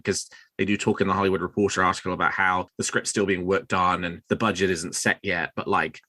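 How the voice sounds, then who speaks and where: A man's voice: on the low side, sharp tone, no echo. A man hosting a fan podcast, discussing news in a conversational, emphatic way. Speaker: podcast host.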